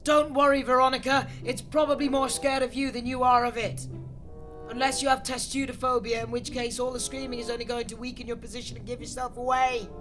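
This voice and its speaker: Dry, pedantic junior clerk. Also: male voice, very high voice, flat delivery, fairly quick, music in the background. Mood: mocking reassurance. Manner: dry, matter-of-fact, sardonic. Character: dry, pedantic, long-suffering male junior bureau clerk. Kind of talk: comedy audio drama acting.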